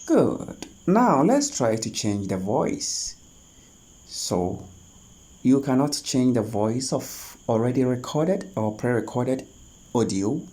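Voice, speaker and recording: A tutorial host talking through phone app steps one at a time, in a halting, instructional way. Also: mid-range voice, big pitch swings, warm tone. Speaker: host of a tech tutorial channel. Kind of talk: spoken step-by-step screen tutorial.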